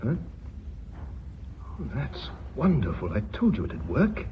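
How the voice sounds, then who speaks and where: A man's voice: medium pitch, huge pitch swings, slow pace. A man playing a deferential but shrewd bookkeeper in a British radio drama, speaking politely and precisely with a British accent. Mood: polite, pleased. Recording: muffled, noisy old radio recording.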